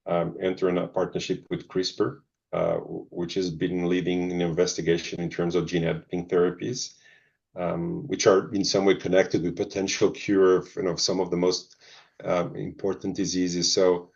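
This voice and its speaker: A middle-aged man, answering interview questions thoughtfully and pausing often with ums and restarts. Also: sits low, level tone, gravelly, a little mellow. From Zimbabwe, Portuguese family. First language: Portuguese. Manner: hesitant, many ums and restarts. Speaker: pharma executive guest. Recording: dull lo-fi audio.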